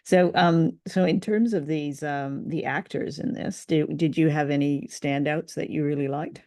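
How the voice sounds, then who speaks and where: Creaky, mellow woman. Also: low-pitched, fairly even intonation, normal speed. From Canada. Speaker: co-host of a radio drama review show. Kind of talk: casual conversational review.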